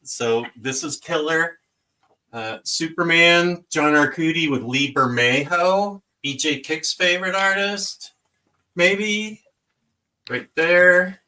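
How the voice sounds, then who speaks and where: A man on a comics podcast panel, slowly walking through a book's contents with enthusiasm and frequent fillers. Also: a little high, pushed and bright. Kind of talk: casual chat, listing book contents.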